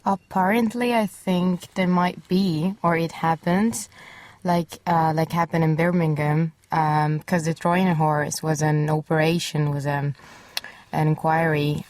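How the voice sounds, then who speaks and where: A woman's voice: deep voice, darkish tone. A young Italian woman, a journalism student, talking on a student radio panel, hesitant and searching for words. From Italy. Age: young adult. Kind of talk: casual panel discussion. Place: small student radio studio.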